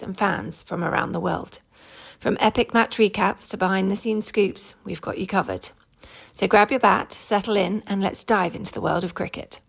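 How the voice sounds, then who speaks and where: A woman's voice: mid-range voice, gravelly, slightly rough. A woman reading a scripted, upbeat introduction to a podcast. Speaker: show announcer.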